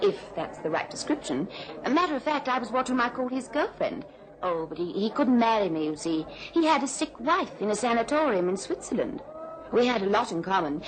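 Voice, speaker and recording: Brief: woman playing arch society racehorse owner, slyly vengeful, very expressive pitch, radio play. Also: close to an everyday voice, rough recording. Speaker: actor. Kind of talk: radio drama acting.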